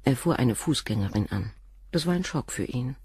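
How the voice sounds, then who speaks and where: An actress playing a composed middle-aged doctor's wife and former nurse, speaking frankly and in a measured way in a radio drama. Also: female voice, extremely low pitch, expressive, a little mellow, husky, no room sound, clean studio sound, exaggerated voice. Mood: candid. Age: 40s. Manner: measured, frank, controlled confession. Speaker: witness questioned by the inspector.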